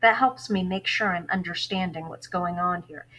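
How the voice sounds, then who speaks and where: A woman teacher giving a read-aloud lesson, pausing to explain things directly to her students. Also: fairly low pitch, pushed and bright.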